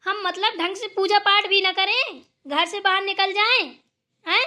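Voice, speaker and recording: A woman's voice: very high voice, a little slow, pushed and bright, full character voice. A woman voicing a pious old village grandmother in a Hindi family cartoon, bossy and complaining. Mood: grumbling.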